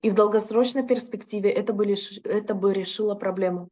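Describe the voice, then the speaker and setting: Mellow-voiced woman interpreting a lecture. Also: mid-range voice, steady tone, fairly quick. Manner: fluent, with occasional hesitations and self-corrections. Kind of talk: consecutive interpretation into Russian.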